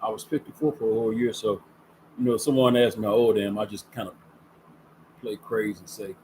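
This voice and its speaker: A man's voice: on the low side, fairly even intonation, fairly quick, a little mellow, slight background noise, poor audio. A man in his fifties hosting a casual sports talk show, chatty and folksy, leaning on words for emphasis. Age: 50s. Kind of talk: casual sports commentary vlog. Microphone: camera setup with ring light.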